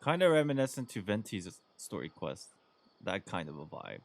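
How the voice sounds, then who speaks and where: A man's voice: on the low side, big pitch swings, moderate pace, no room sound. A man giving casual, slangy, reactive commentary as he plays a game on stream. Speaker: gaming streamer.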